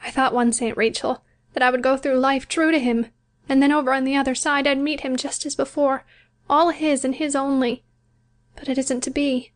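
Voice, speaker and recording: A gentle young bride with a high, soft voice, patient and resigned, pleading quietly in a storybook reading, as a woman reader puts her on. She sounds a little unlike the reader's own voice. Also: fairly even intonation, normal speed, lightly acted. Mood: soft, wistful. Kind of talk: audiobook character voice.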